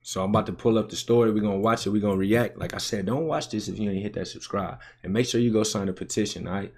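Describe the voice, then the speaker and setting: A man's voice: lowish voice, quick pace, darkish tone. A man in his twenties with an American accent, giving casual, slangy gaming commentary as a YouTube host. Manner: slangy, repetitive hype. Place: indoor gaming room. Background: music and game audio.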